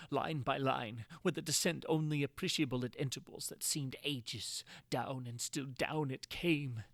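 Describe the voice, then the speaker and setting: A man's voice: mid-range voice, fairly bright tone, no room sound, clean studio sound. A man playing a tormented prisoner recounting his agony in a theatrical, anguished way with archaic diction, in a gothic horror reading.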